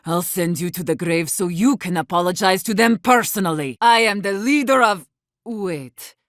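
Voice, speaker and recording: A woman's voice: fairly low pitch, normal speed, fairly bright tone, dry recording, studio quality, natural voice. An actress playing a defiant, battle-hardened woman who leads exiled wardens in a video game, with a blunt, commanding manner. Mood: accusing, threatening. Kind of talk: video game character dialogue.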